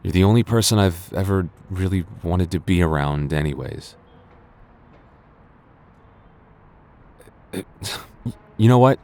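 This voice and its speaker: A man acting a stubborn but regretful young man in an intimate boyfriend roleplay, speaking in a halting, earnest way with stammers and sighs. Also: deep voice, darkish tone. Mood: tender, confessing. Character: stubborn, regretful young man confessing love to childhood friend.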